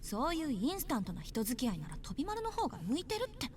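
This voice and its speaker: A woman's voice: high-pitched, huge pitch swings, rapid-fire, far from the mic, slight background noise. An actress playing a blunt, proud, irritable teenage schoolgirl in a Japanese visual novel. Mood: irritated.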